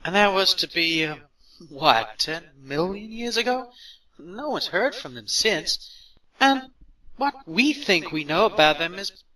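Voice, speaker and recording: A man's voice: sits high, lively intonation, unhurried, sharp tone, full character voice, low-quality recording. A man playing a skeptical, sarcastic robot scholar in an audio drama, incredulous and sardonic. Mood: incredulous, dismissive.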